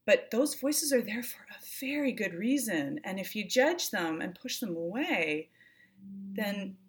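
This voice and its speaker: A woman's voice: mid-range voice, big pitch swings, a little slow. A woman co-hosting a reflective conversation, talking thoughtfully and searching for words as she repeats and reworks her phrases. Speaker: co-host. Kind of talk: reflective conversational talk on self-care.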